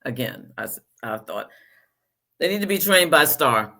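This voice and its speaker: A woman hosting a podcast with a bright, forward tone. She chats casually and tells self-deprecating stories, laughing at her own slips. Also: very deep voice, very animated, moderate pace, vocal fry. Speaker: podcast host. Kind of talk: podcast interview conversation.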